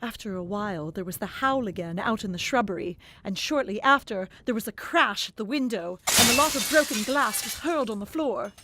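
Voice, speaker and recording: A woman narrating a gothic novel in the first person, expressive and dramatic, dropping to whispers in the hushed passages. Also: mid-range voice, fairly quick, hardly any pauses, noisy background.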